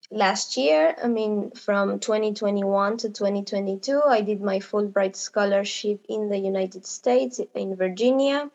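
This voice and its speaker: A woman from Argentina who teaches English introduces herself on the panel. She speaks at a middling pitch in a brief, matter-of-fact way, and her audio sounds somewhat dull. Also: a little mellow.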